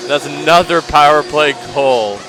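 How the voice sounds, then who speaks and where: A male colour commentator breaking down hockey plays in an arena booth, excited and restarting his sentences mid-thought. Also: fairly high pitch, a little slow, soft background music. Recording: arena crowd noise behind the booth mic.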